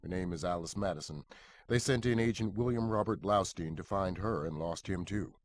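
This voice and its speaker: A man's voice: low-pitched, moderate pace, slight background noise, close to an everyday voice. A man playing a businesslike intelligence commander, giving a briefing with authority in a video game cutscene. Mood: firm, businesslike.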